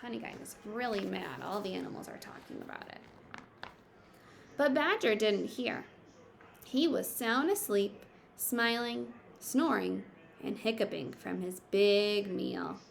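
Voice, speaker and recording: Female teacher, storybook read-aloud. Slow, slightly gravelly, expressive, explains simply. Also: mid-range voice. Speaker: teacher reading aloud to pupils. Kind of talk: picture book read-aloud with teaching asides.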